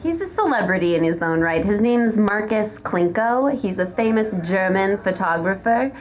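A woman's voice: medium pitch, animated, normal speed, a little hiss or hum. A woman guest on a live stage telling comic stories in an informal, sweary, casual way. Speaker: podcast guest, former restaurant hostess. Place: stage in front of an audience.

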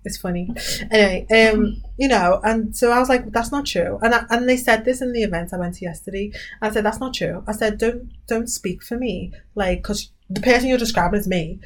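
A British woman, co-hosting a podcast and telling stories in a casual, animated chat with lots of ums. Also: medium pitch, a little fast. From Britain. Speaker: podcast co-host.

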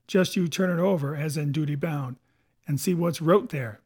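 A man's voice: a little high, normal speed, a little mellow, slightly rough. A man voicing an insolent, accusing pirate mutineer in a storybook, with blunt rustic sailor's talk. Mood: stern, challenging. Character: rebellious, accusing long lean pirate mutineer George Merry. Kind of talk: dramatic audiobook character voice.

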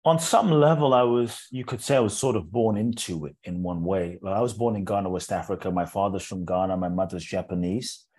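Earnest low-voiced man telling his story. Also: steady tone, darkish tone, husky. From England. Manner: earnest and measured, repeating words as he builds a thought. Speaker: inspirational speaker and interview guest. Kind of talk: reflective personal storytelling in an interview.

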